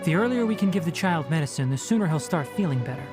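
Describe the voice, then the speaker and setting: A man voicing a calm, stern young male forest ranger in a game, speaking in a measured, matter-of-fact, slightly dry way. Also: a little high, lightly acted. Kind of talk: game dialogue voice acting. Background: soft game music.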